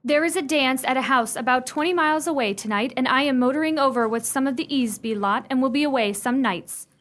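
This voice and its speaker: A woman's voice: high-pitched, a little fast, a bit bright. A woman playing a prim, imperious young upper-class fiancée in a radio play, speaking sternly with precise, formal diction. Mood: outraged.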